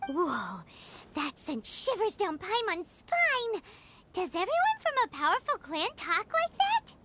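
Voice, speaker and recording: A woman voicing a tiny, excitable, childlike companion sprite in a video game, squeaky and bubbly. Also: unhurried, slight background noise, exaggerated voice. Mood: awed. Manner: bubbly, exclamatory.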